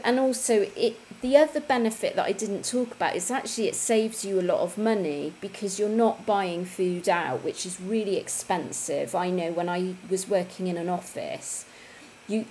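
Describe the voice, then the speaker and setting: A woman's voice: medium pitch, normal speed, darkish tone, slight background noise, low-quality recording. A woman, hosting a casual fitness livestream from home and chatting to viewers. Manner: chatty, conversational, with tag questions. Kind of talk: casual livestream chat reading viewer comments. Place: home room, indoors.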